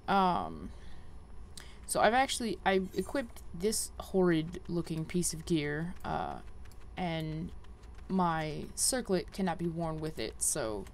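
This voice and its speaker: Chatty woman gaming, noise behind. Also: fairly low pitch. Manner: chatty, thinking aloud. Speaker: gaming video host. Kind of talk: casual game walkthrough commentary.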